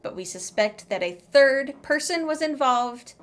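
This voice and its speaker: A woman's voice: sits high, lively intonation, measured pace, a bit bright. A woman doing casual, playful gameplay commentary as a streamer, chatting away to herself. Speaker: game streamer. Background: game music.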